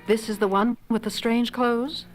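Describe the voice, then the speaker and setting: An actress playing a kindly, curious female chimpanzee scientist, with a gentle, coaxing, theatrical delivery. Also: on the high side, steady tone, a little slow, over music, natural voice. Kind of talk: 1970s audio drama acting.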